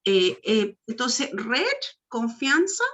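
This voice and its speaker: A Chilean woman with a fairly high voice speaks earnestly to a panel, often breaking off and restarting her sentences. The sound is a muffled, lo-fi video call. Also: big pitch swings, takes it slowly, a bit bright. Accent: Chilean Spanish. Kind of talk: casual panel talk. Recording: dull lo-fi video call audio.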